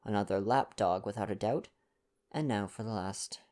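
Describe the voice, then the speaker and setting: A man's voice: on the low side, moderate pace, darkish tone, lightly acted. Older Belgian detective played by a man, storybook character: vain, courteous, self-important, formal foreign turns of phrase. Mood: intrigued. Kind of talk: storybook dialogue reading.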